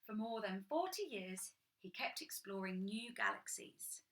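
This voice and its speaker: A woman's voice: medium pitch, big pitch swings, measured pace, fairly bright tone, rough recording. A young woman reading a picture book to children, breaking off for chatty, enthusiastic asides. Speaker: children's storytime reader.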